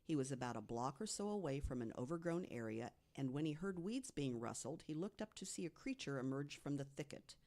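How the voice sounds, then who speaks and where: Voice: mid-range voice, animated, brisk, few pauses, darkish tone. A podcast panelist reading prepared mystery stories aloud, with conversational asides.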